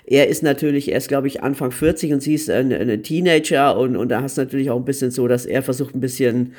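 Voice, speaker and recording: A man's voice: medium pitch, quick pace, darkish tone. A man co-hosting a podcast, giving a casual review and often hesitating and repeating words as he searches for phrasing. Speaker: podcast co-host recommending series.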